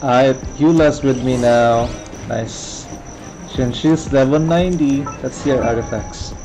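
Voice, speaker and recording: A man's voice: medium pitch, a little slow, rough recording. A man giving casual, slangy gameplay commentary as a streamer, with a cocky manner. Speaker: game streamer. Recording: game music behind the voice.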